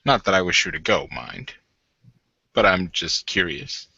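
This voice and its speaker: A man playing a stern werewolf warden with a softer, wry side, speaking in a measured, halting way in a tabletop roleplay. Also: low voice, big pitch swings, breathy edge, no room sound, dull audio, heavily acted. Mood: tentative.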